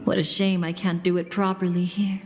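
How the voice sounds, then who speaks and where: A woman playing a soft-spoken game narrator who recalls bittersweet memories in a measured, wistful way. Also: lowish voice, flat delivery, close to an everyday voice. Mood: measured, revealing. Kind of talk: slow storytelling narration in a video game. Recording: long reverb, music behind. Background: music.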